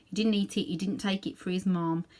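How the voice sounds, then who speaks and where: A woman reading a children's book aloud in an animated, dramatic way, sometimes softening toward hushed tones. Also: fairly low pitch, fairly quick. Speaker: storybook reader. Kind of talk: expressive read-aloud narration for children.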